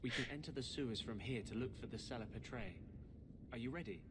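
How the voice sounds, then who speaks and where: An actor playing a grim, judgmental rebel mage companion in a video game, earnest and brooding. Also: male voice, mid-range voice, a little fast, close to an everyday voice. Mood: businesslike. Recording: long reverb, noisy game ambience.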